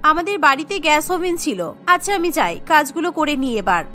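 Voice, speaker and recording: A woman's voice: sits high, big pitch swings, quick pace, pushed and bright, faint music behind, full character voice. A woman voicing a polite, plucky young bride in a cartoon. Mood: polite, firm. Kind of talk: cartoon voice acting.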